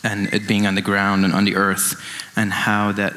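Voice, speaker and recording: A man in his late twenties from Iran giving an informal, reflective artist talk, halting and circling back over his phrases. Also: lowish voice, monotone, sharp tone, a little hiss or hum. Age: late 20s. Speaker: dance artist, panelist. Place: indoor event stage, amplified.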